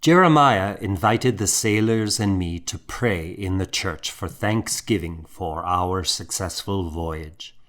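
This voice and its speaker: A man reads a historical novel aloud in a low voice. His delivery is slow and measured, with a dramatic storyteller's weight on the first-person account. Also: fairly bright tone. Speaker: audiobook narrator.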